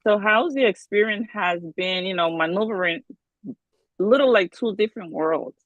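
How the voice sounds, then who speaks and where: A woman's voice: mid-range voice, normal speed, dry recording. Bronx woman host, dull dry sound. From the Bronx, New York. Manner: friendly, easygoing, many fillers. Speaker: interview host. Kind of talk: casual interview chat.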